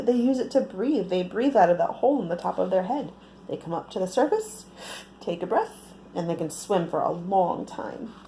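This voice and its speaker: A woman reading a picture book aloud to young children, expressive and often putting questions to her listeners. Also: a little high, slight background noise, poor audio. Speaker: children's storyteller.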